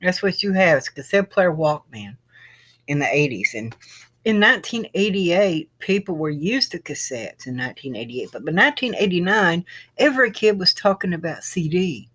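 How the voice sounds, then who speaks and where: A woman's voice: fairly low pitch, lively intonation, normal speed, a bit bright, husky. Middle-aged woman, rambling and repetitive explainer. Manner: repetitive, circling back over phrases. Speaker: video creator. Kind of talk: informal rambling explainer to viewers.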